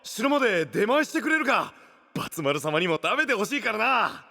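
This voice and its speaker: An actor playing a brash, fiercely loyal young boss in an anime voice drama, with rough, theatrical speech. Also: male voice, high voice, very animated, a little fast, sharp tone, exaggerated voice. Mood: proud, upbeat. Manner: rough delinquent-style speech, theatrical swings.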